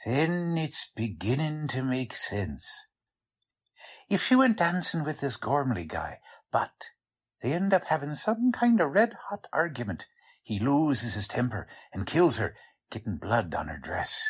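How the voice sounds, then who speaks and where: An actor playing a gruff, hot-headed police sergeant who talks in blunt, emphatic slang, in the style of old-time radio drama. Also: male voice, medium pitch, very animated, a little slow. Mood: decisive, blustering. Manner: blunt, emphatic, street-slang cop talk.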